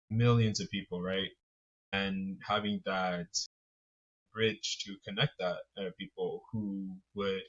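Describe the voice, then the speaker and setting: A man from Montreal speaks as a podcast guest in an easy, fluent way, with casual fillers. His voice is very deep and stays flat in pitch. The recording sounds somewhat dull and lo-fi. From Montreal, Quebec. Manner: fluent, casual, uses fillers like "I guess" and "right". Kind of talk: casual interview.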